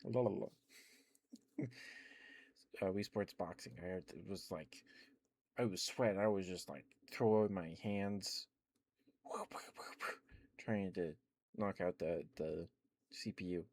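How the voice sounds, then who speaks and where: A young man chatting in a soft, rambling ASMR style, wandering between thoughts with lots of fillers and quiet laughs, close to the microphone. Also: sits low, measured pace, pauses often. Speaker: ASMR streamer.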